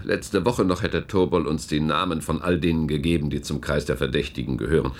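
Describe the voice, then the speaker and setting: An actor playing a calculating, cynical government security official in a German radio play, ironic, deliberate and coolly polite. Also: male voice, rumbling low, steady tone, a little hiss or hum. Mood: sardonic, cool.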